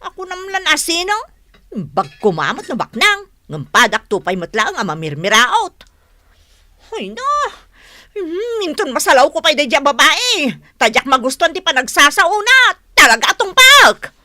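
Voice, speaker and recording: A woman playing a vain, sharp-tongued village woman in an Ilocano radio comedy, animated and indignant, with an Ilocano accent. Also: sits high, moderate pace, no room sound, clean studio sound, exaggerated voice.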